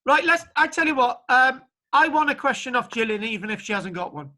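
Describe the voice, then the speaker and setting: A man's voice: very high-pitched, lively intonation, brisk, bright, forceful tone. A man lecturing an online archaeology class, chatty and jokey, rambling a little as he talks. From Britain. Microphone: video call.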